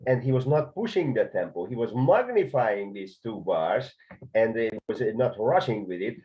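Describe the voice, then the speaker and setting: Man, Hungarian accent, low voice, conducting teacher, halting with fillers, dull lo-fi recording. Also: lively intonation. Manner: hesitant, many filler sounds and restarts. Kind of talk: video lesson on conducting. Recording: dull lo-fi sound.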